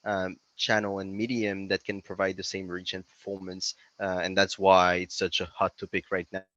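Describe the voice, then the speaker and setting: Bright, forceful Frenchman on a dull mic. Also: low voice, completely flat delivery, normal speed, no echo. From France. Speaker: interview guest, company CEO. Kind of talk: conversational interview answers.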